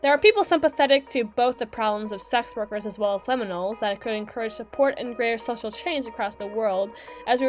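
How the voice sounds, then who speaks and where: A woman playing a brisk, sassy mermaid public attorney in a scripted ASMR roleplay, with a theatrical, sardonic, wordy delivery. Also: fairly high pitch, natural voice. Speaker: voice actor in audio roleplay.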